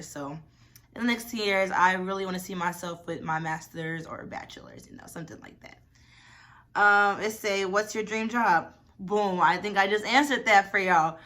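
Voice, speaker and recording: Chatty young woman with a bright voice. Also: on the low side. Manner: chatty, rambling, lots of "y'all" and "like". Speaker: vlogger. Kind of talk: casual Q&A vlog.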